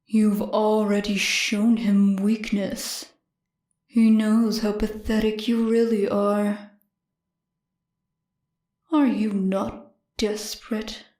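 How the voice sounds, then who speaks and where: A poisonous, taunting voice of the mind, played by a woman in an audiobook. It croons softly and menacingly, extremely slow and very even in pitch, washed in echo. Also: lightly acted. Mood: cold taunting. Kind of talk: audiobook character voice. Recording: echoing reverberant effect on voice.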